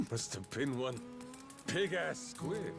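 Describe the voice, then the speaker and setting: An actor playing a gruff, easygoing Yakuza man with amnesia in a video game, speaking in rough, teasing, folksy slang. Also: medium pitch, sing-song, slow pace, heavily acted. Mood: easygoing, wry. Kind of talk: video game cutscene acting. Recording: faint music behind the dialogue.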